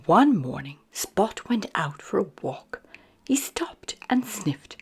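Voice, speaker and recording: A woman reading a children's picture book aloud in a gentle, soft narration. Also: mid-range voice, big pitch swings, takes it slowly, slightly rough, faint music behind. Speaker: storyteller.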